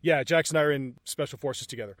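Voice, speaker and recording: A man's voice: medium pitch, fast talker, fairly bright tone, dry recording, clean studio sound. A man co-hosting a casual movie review chat, walking through scenes and swearing casually. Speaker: movie review podcast co-host.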